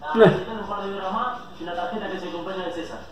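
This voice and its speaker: An actor playing a rough, threatening male prison inmate with an Argentine accent and an aggressive, brash way of talking. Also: sits high, steady tone, racing, crowd noise, lightly acted. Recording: echoey, distant TV audio under music.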